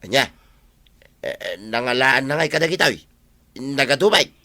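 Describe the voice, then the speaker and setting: A man's voice: medium pitch, lively intonation, moderate pace, bright, forceful tone. A man with an Ilocano accent playing a folksy, chatty village husband in a radio comedy drama. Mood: puzzled.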